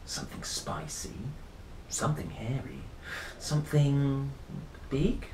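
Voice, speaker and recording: A man's voice: mid-range voice, slow, a little mellow, close to an everyday voice. A man voicing a polite, greedy little boy monster in a children's storybook, eager and full of exclamation. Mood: pondering. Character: Rory, gleeful greedy little boy monster who loves cooking. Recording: roomy, echoey, faint background noise.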